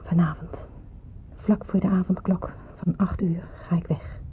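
An actress playing a despairing, brooding young nurse who is weary of hiding, in radio drama style. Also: female voice, lowish voice, fairly even intonation, measured pace, whispered, natural voice. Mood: resigned, despairing. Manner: brooding, hesitant. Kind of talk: radio drama acting with inner monologue. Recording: muffled phone-like old radio recording, clear hiss.

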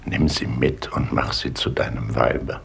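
An actor playing a mysterious divine voice that guides a man, speaking slowly and repeating his commands in a gravelly tone, in a 1950s radio play. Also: rumbling low, steady tone, heavily acted. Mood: commanding. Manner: slow, commanding, repeats orders. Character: mysterious divine voice guiding a man, deep and solemn. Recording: faint hiss of an old radio tape.

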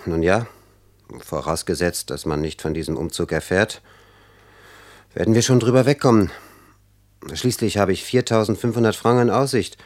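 Actor as a deep-voiced, proud young man. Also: normal speed, pauses often, a little mellow, natural voice. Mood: resolved. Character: proud, brooding, ambitious young male poet. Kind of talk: German radio play acting.

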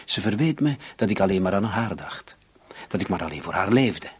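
An actor playing a defensive, irritable murder suspect in his late thirties, husky and breathy, in a radio drama. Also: low-pitched, animated, a little fast, heavily acted. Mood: bitter confession. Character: defensive, irritated 37-year-old male murder suspect in love. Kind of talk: Dutch-language radio drama dialogue.

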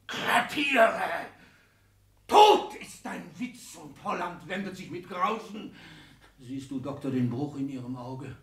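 Gloating old tycoon father, theatrical radio drama, very high strained pitch, heard from off mic in a roomy studio. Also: recorded from a distance, full character voice. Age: older. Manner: theatrical, exclamatory, swinging from shouts to gasping murmurs. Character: triumphant, raving old patriarch and tycoon, failing but gloating. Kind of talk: 1960s German radio play acting.

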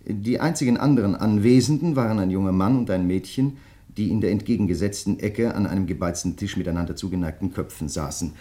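Low male voice, actor playing polite crime novelist, measured, deliberate, insistent, radio drama. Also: warm tone, natural voice. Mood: measured, reading aloud. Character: courteous, insistent male crime novelist probing a fellow writer. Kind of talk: German radio play acting.

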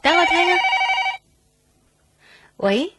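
An actress playing a dreamy, gently stubborn young female patient in a radio drama. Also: very high voice, expressive, slow pace, very noisy, heavily acted. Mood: tentative, concerned. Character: young woman recovering from heart transplant, dreamy, gently stubborn. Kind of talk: Cantonese radio drama acting with first-person narration.

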